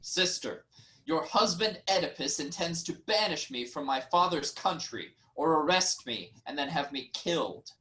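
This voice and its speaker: A man's voice: on the high side, animated, normal speed, bright, forceful tone, rough recording. A man playing an aggrieved but reasonable nobleman in a staged reading of Greek tragedy, with formal, indignant delivery. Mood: protesting.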